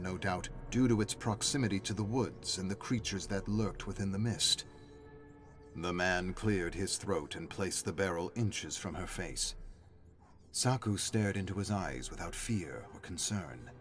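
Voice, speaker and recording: A man reading a story as a narrator, in a dramatic scripted style. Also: lowish voice.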